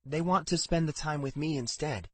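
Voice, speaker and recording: A man's voice: a little high, moderate pace, no room sound, pitched above the performer's own voice, lightly acted. A man voicing a spirited young daughter who talks eagerly and chattily to her father in a storybook reading. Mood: hopeful.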